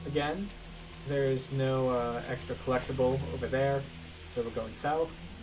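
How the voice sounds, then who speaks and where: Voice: medium pitch, a little slow, distant mic, noisy background. A gameplay guide host giving casual walkthrough commentary on a video game.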